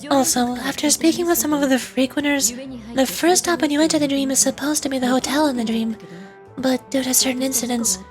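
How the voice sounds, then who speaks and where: Woman as shrewd female leader, composed, analytical, high voice, video game script read aloud, music behind. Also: steady tone, lightly acted. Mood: serious, wary. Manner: analytical, deliberate, polite.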